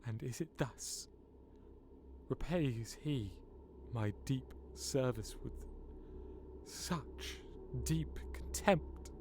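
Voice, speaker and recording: A proud, calculating nobleman in a Shakespearean audio drama, speaking in careful, formal tones at a middling pitch. There is a faint noise in the background. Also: a little mellow. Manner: measured, formal pleading. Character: proud, calculating duke, grows resentful.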